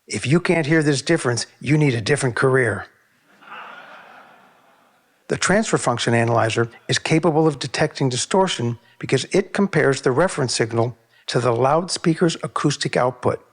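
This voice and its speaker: A man narrating a technical video on sound measurement in a measured, precise, explanatory way. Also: mid-range voice.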